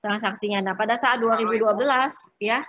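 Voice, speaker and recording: A woman working through exercises as an accounting instructor in an informal step-by-step lecture, often pausing and adding short check-in tags. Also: fairly high pitch, fairly even intonation, a bit bright, slightly rough, dry recording, dull audio.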